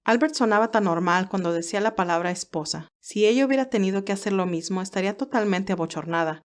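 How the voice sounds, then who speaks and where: A woman's voice: mid-range voice, fast. A woman reading scripted fiction as the narrator of an audio story.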